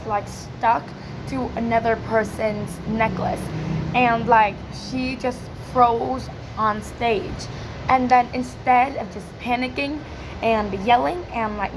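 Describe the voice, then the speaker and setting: A woman with a hoarse, cracking voice giving an informal question-and-answer presentation, hesitant and full of filler words. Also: a little high, noisy background. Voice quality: croaky, cracking. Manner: hesitant, many filler likes. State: hoarse from yelling. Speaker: student presenter practising English speaking.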